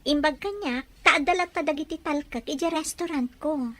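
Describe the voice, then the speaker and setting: A woman's voice: high-pitched, normal speed, fairly bright tone, no echo. A woman voicing a dutiful grown daughter who politely answers her mother. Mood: agreeable. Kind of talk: amateur audio drama acting.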